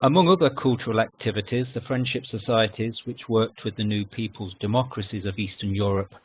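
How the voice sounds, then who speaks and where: A man's voice: lowish voice, fairly quick, husky. Formal male lecturer on a phone-like line. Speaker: editorial consultant giving a lecture. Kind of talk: scripted history lecture with slides. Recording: narrow phone-like online call audio.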